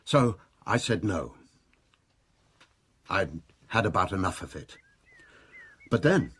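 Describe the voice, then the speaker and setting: An actor playing a remorseful wealthy adulterer confessing to police in a radio drama, anguished, halting and full of self-reproach. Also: male voice, lowish voice, slightly rough. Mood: agitated, haunted.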